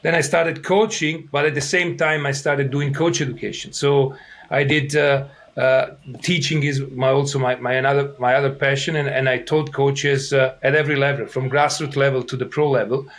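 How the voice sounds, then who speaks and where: Italian-accented man, halting interview answers. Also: mid-range voice, steady tone, a bit bright. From Italy. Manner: halting, many fillers and restarts. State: throat irritated. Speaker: soccer head coach.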